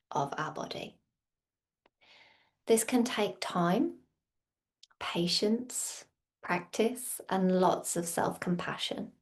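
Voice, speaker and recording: Slow, soft-spoken woman guiding meditation. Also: medium pitch. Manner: soothing, unhurried, with long pauses between short phrases. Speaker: meditation and yoga teacher. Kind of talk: guided meditation narration.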